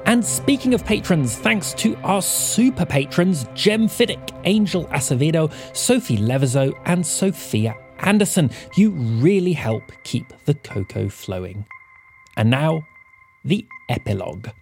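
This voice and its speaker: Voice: medium pitch, very animated, a little slow, darkish tone, faint music behind. An announcer reading scripted podcast credits in a presentational style.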